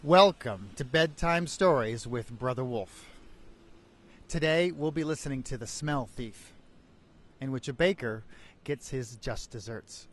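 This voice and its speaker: A man telling a bedtime story as host, with deliberate phrasing and dramatic pauses. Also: medium pitch.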